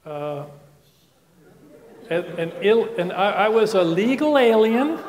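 A man's voice: sits high, very animated, takes it slowly, darkish tone, a little hiss or hum. A man from the Netherlands giving a chatty, joking public science talk in a lecture room, with restarts and asides. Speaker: guest lecturer. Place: lecture room with projected slides.

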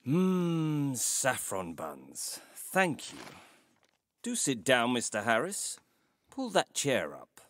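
An actor playing a courteous, mysterious old tailor in an audio story, speaking in a formal, deliberate way. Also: male voice, medium pitch, wildly swooping pitch, fairly bright tone, no echo, heavily acted. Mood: genial, gracious. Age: older. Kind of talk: dramatised audio short story acting.

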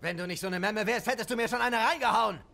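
High, gravelly young soldier. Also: male voice, fast, background noise. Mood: furious, defiant. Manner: agitated, shouting. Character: high-strung, quarrelsome young male American WWII soldier. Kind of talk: dubbed war game cutscene acting.

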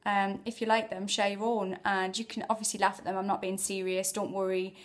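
A woman's voice: mid-range voice, level tone, a little fast. Chatty Geordie woman vlogging. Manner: chatty, self-mocking. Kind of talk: casual chat to camera. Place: small indoor room. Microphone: phone at arm's length.

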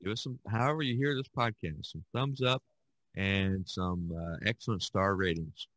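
Older man with a low voice. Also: moderate pace, a bit bright, no echo. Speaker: podcast host. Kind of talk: casual chat.

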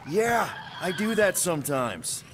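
An actor playing a cocky, easygoing leader of a space crew in a video game, casual and flippant. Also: male voice, high-pitched, very animated, measured pace, background noise. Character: cocky easygoing young human space-adventurer team leader.

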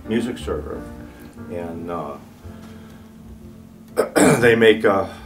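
A man in his sixties explaining products off the cuff as an exhibitor, halting with frequent pauses. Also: low voice, over music. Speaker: loudspeaker exhibitor. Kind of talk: unscripted product walk-through in an interview. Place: carpeted hotel room at an audio show.

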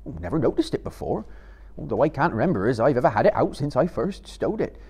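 A man's voice: mid-range voice, big pitch swings, fast, darkish tone, breathy edge, voice pushed up. A man voicing a loyal, plain-spoken, cautious hobbit gardener with rustic, chatty phrasing in a live storybook reading. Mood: reluctant.

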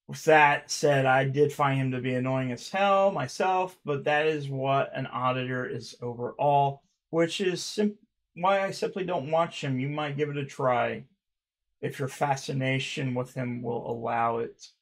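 A man in his thirties reading fan comments aloud on his channel, rambling and swearing, with a stutter he mentions himself, recorded by a webcam in a dark room at home. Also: medium pitch, unhurried. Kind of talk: casual reaction commentary.

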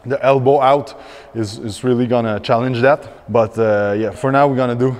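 A man's voice: mid-range voice, steady tone, moderate pace, darkish tone. A man in his thirties, a fitness coach, explaining a workout step by step in a large indoor gym, with frequent fillers and restarts.

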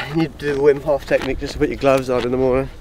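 A man's voice: medium pitch, level tone, rapid-fire. A surfer chatting casually outdoors on a cold coast, joking about his cold hands and gloves. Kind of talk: casual chat on a surf trip. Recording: outdoor camera audio with background noise.

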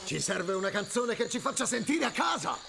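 A man's voice: sits high, sing-song, fairly quick, vocal fry, bright, forceful tone, faint music behind, full character voice. A man voicing a big, friendly, anxious but jolly cartoon alligator in a video game, theatrical and full of exclamations. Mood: excited, watchful. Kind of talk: video game character acting.

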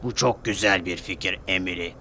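A man's voice: sits low, brisk, noisy background, pitched below the performer's own voice, natural voice. A man voicing a bossy, stout railway controller with a commanding manner in a children's cartoon story. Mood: approving. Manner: commanding, sharp. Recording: loud music behind the voice.

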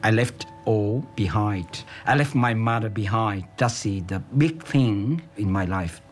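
A middle-aged man from Vietnam recalling his escape in a documentary interview, speaking in short, halting sentences. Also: lowish voice, animated, faint music behind. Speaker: interviewee, refugee. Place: office room, indoors.

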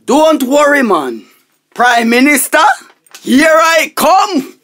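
A woman's voice: high voice, animated, fairly bright tone, full character voice. A woman playing a flustered, self-important money minister in a radio comedy, with a Jamaican accent and emphatic repetition. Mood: confident. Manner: repeats phrases emphatically, halting when agitated. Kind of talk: radio comedy drama acting.

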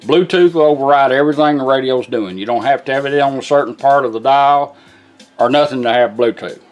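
A man's voice: medium pitch, brisk, faint music behind. A middle-aged man gives an informal, plain-spoken product demonstration, explaining each step in turn. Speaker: vintage car radio technician demonstrating a product. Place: small indoor workshop at a bench.

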